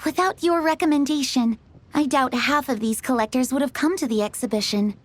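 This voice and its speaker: A woman's voice: sits high, fairly quick. A woman playing a gracious, refined lady in a fantasy game, speaking formally and politely. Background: faint background music.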